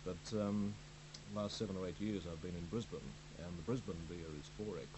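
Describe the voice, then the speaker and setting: An Australian man from Melbourne, a radio newsreader, answering interview questions in a halting way full of ums and uhs. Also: deep voice. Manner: hesitant, many ums and uhs. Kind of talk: studio interview chat. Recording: radio broadcast with steady hum.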